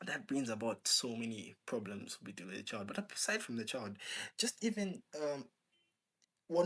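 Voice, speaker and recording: A man of ordinary, middle pitch speaks in a loose, unscripted monologue. He wanders from point to point, stacking rhetorical questions and filling gaps with "like" and "um.". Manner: rambling with many rhetorical questions and filler "like". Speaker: channel host. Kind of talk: casual opinion monologue.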